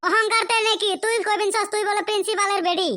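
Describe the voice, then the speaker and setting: A man voicing a brash, lovesick village college boy in a comic cartoon, with a Lower Assam rural accent and a pitched-up effect. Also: fairly even intonation, quick pace, bright, forceful tone. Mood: indignant. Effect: pitched-up chipmunk-like voice. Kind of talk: comic meme cartoon dialogue.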